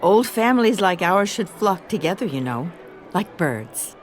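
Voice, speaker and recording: Animated, genteel older society wife. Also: female voice, on the low side, a little slow, faint music behind. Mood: flattering. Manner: coy, needling. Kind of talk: dramatic video game dialogue.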